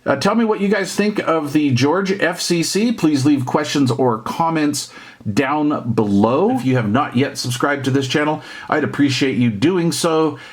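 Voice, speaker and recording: A middle-aged or older man giving a casual, off-the-cuff product review in a conversational way, with hesitant pauses. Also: a little high, expressive. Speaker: CB radio hobbyist and reviewer.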